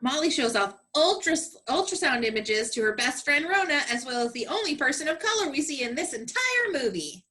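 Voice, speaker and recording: A woman's voice: sits high, sing-song, brisk, hardly any pauses, pushed and bright. A woman comedian delivering sassy, emphatic and theatrical scripted narration.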